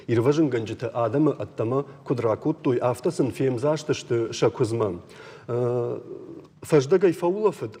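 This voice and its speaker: A male co-host with a soft, dark timbre and a husky edge presents news conversationally. His voice carries a long reverb, as in a hall. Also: mid-range voice. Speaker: TV talk show co-host.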